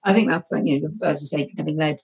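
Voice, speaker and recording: Woman panel moderator, husky and low, brisk and in charge. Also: darkish tone. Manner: brisk, steering the conversation. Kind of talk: panel discussion.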